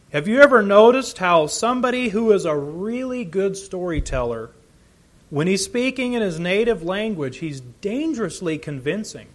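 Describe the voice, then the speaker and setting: Animated male preacher, high lively voice. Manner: earnest, emphatic teaching with swells of volume. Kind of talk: sermon.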